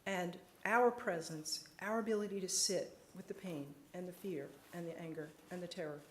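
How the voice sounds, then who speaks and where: A woman's voice: fairly low pitch, a little hiss or hum. Earnest woman lecturing in echoey hall. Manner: earnest, measured, slows for emphasis. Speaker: psychiatrist speaker. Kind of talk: lecture and audience Q&A.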